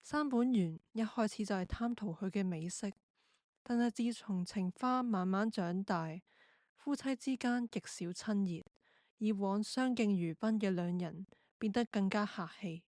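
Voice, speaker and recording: A woman's voice: medium pitch, a little slow, darkish tone, dry recording. Narrator for radio drama: woman, mid-range voice, scripted delivery, very dry room sound.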